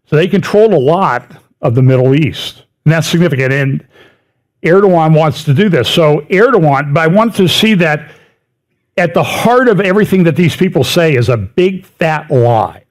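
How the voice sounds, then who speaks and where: A middle-aged man talks through a slideshow in a loose, conversational way, his voice sitting a touch higher than most men's. He repeats words to stress them and tosses in dry little asides. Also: animated, moderate pace. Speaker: speaker giving a prophecy update talk. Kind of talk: lecture with slides.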